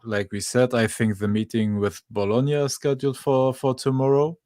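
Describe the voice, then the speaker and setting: A man's voice: mid-range voice, little pitch movement, normal speed, dark tone, no room sound, studio quality. A man co-hosting a sports podcast, speaking hesitantly with many restarts and fillers. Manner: hesitant, restarts a lot with uhs. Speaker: podcast co-host. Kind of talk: casual sports podcast banter.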